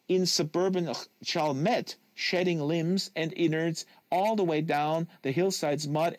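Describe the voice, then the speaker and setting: A man's voice: medium pitch, no room sound. Man reading literary fiction aloud, dry close sound. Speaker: reader of a literary novel.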